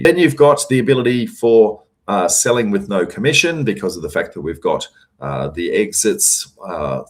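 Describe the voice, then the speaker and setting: A man, hoarse and losing his voice, hosting a live question-and-answer session and giving emphatic advice with plenty of fillers. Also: low-pitched. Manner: emphatic, repeats words for stress, frequent fillers. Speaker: host answering audience questions.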